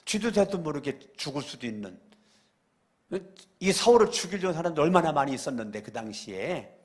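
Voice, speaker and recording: A male pastor preaching a church sermon, repeating phrases and asking the congregation questions, moving between loud exclamations and quiet asides. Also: a little high, sing-song, fairly quick. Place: church sanctuary during a weekday service.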